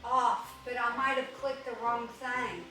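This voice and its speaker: A woman with a fairly high, bright voice chats and banters casually in a home vlog. She sounds slightly off the mic in a roomy indoor space. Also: fairly even intonation, unhurried, distant mic. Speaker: co-host of a home unboxing vlog. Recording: roomy echo, off the mic, faint music.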